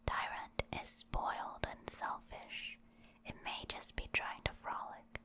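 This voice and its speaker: A woman reading short encyclopedia entries as an ASMR creator, in a soft, soothing and unhurried manner. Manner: soft, unhurried, soothing delivery with repeated words.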